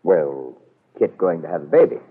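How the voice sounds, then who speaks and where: Deep husky wry radio businessman. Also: expressive, moderate pace. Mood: wary, defiant. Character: proud, wily middle-aged businessman father, cornered but defiant. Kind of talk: 1940s radio soap opera acting. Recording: muffled old radio broadcast.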